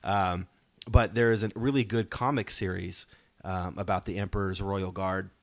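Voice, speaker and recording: A man's voice: low-pitched, steady tone, moderate pace, no echo. A man hosting a podcast in casual pop-culture discussion, explaining his points and posing rhetorical questions. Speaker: podcast host. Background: soundtrack music playing faintly.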